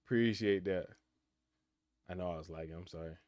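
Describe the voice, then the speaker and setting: Young man, nineteen, low voice, dry close sound; relaxed streamer musing on chat questions. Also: brisk, no room sound. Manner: laid-back, reflective, reads chat questions aloud and answers. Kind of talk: casual live-stream chat. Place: his room in college housing. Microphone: computer mic.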